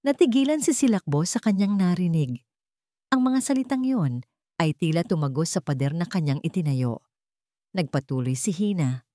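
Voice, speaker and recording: A woman's voice: fairly low pitch, expressive, normal speed, warm tone, no room sound, clean studio sound. A woman with a Filipino accent narrating a scripted romance story.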